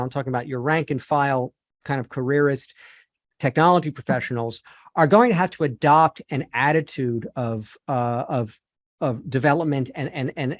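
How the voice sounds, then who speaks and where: A man hosting a tech podcast, asking long, wordy interview questions and restarting his phrasing now and then. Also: on the high side.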